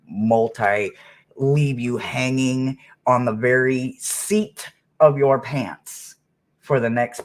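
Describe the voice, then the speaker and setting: A man giving a spoken tarot reading to viewers, talking emphatically with dramatic pauses and often checking for agreement. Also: on the low side. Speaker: tarot reader.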